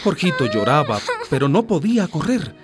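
A man's voice: fairly high pitch, big pitch swings, moderate pace, music in the background. A man narrating a radio drama as a scripted story, with a grave, dramatic delivery.

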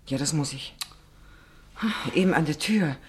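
Casting: nervous female neighbour for radio drama, low register, hesitant and fretful. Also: a little slow. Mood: flustered. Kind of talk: German radio play acting.

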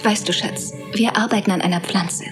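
A woman's voice: medium pitch, steady tone, normal speed, faint music behind, close to an everyday voice. An actress playing a secretive, urgent scientist mother in a film drama.

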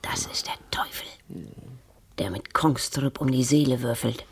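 An actress playing a gossiping, superstitious farm servant in a radio drama, hushed, conspiratorial and teasing. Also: female voice, extremely low pitch, a little slow, slight background noise. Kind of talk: radio play acting.

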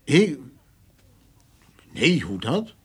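A man's voice: fairly high pitch, very animated, very slow, a little mellow, breathy edge, exaggerated voice. A man playing an awkward, evasive husband who farms horses, speaking hesitantly in a radio play. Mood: sheepish, evasive. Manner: hesitant, stammering, casual-sounding.

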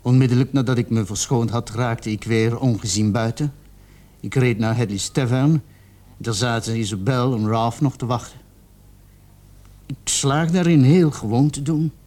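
An actor in a radio drama playing a cornered, remorseful young male murder suspect who confesses in a halting, defensive way. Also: mid-range voice, a little mellow, lightly acted. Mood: subdued confessing.

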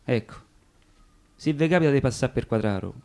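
An actor with a Roman accent playing a wry, proud working-class storyteller in a colloquial, emphatic radio theatre monologue. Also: male voice, mid-range voice, animated, normal speed, mellow, lightly acted. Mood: wry, confiding.

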